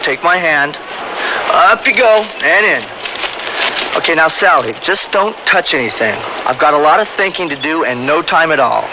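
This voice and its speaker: A brash young man who likes to be in charge, pitched slightly high for a man. He is smooth-talking and bossy, in radio drama style, on a noisy old recording. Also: expressive, normal speed. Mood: confident, bossy. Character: cocky, controlling, handsome young balloon instructor.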